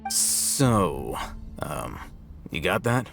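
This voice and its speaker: An aloof young scholar with a low, deep voice. He is composed, bookish and dryly deadpan, delivering understated game dialogue with faint music underneath. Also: drawn-out words, creaky, exaggerated voice. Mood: unbothered.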